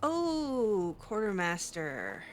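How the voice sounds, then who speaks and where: A woman's voice: mid-range voice, big pitch swings, extremely slow, slight background noise. A woman doing casual, improvised roleplay commentary over a video game, chatty and playful with a hesitant way of talking. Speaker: gamer roleplaying a character.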